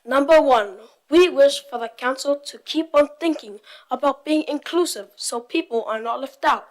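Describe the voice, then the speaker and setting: A woman's voice: high-pitched, moderate pace, low-quality recording. A young woman from Tonga reading a prepared presentation as part of a youth group.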